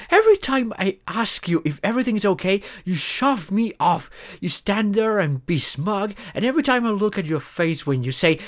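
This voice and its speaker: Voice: mid-range voice, fairly bright tone. A voice actor playing an upset, caring live-in partner in an intimate audio roleplay, emotional and pleading. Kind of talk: intimate ASMR roleplay voice acting, one side of dialogue.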